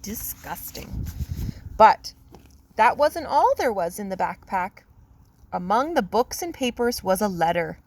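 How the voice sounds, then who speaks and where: A woman's voice: mid-range voice, sing-song, a little slow, no echo, poor audio. A woman reading a children's picture book aloud in a simple, teacherly, explanatory manner. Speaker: read-aloud storyteller.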